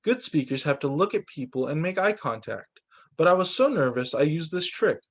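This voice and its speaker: A man reading a children's storybook aloud as a teacher, with expressive narration and acted-out dialogue. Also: fairly high pitch, normal speed. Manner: animated, acts out dialogue for children.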